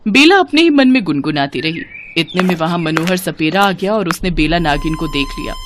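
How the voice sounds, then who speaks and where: Animated woman narrating a fairy tale. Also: lowish voice, brisk, background noise. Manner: dramatic storytelling. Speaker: storyteller narrator. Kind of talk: scripted Hindi fairy-tale narration for cartoon.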